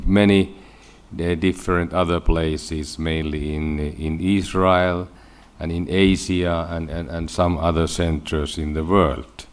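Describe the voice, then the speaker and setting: A man with a Finnish accent giving a scientific conference lecture in halting English, often repeating words. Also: deep voice. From Finland. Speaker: medical researcher giving a lecture.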